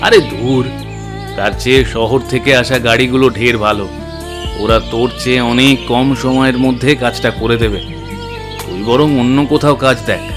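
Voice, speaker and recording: A man's voice: medium pitch, steady tone, normal speed, natural voice. A man voicing a brusque village headman. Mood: dismissive. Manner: brusque and dismissive. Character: dismissive male village headman, self-important. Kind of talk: animated storybook voice acting. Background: background music.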